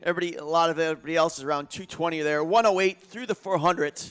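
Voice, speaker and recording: A man's voice: on the high side, fairly even intonation, very fast, fairly bright tone, clear, resonant tone. A man giving live track race commentary in a conversational way that builds with the action.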